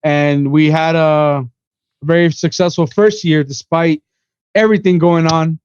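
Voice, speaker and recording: A man's voice: on the high side, steady tone, a little slow, darkish tone, clean tone, no echo, highs cut off. A man in his twenties from Miami, a podcast guest rambling through his story in casual chat, full of "you know" and "bro". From Miami, USA. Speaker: podcast guest, event organizer.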